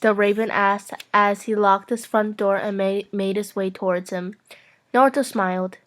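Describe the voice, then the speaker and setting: A woman's voice: medium pitch, fairly even intonation, normal speed, noisy background. A young woman reading fan fiction narration aloud as an amateur, playful and prone to giggling.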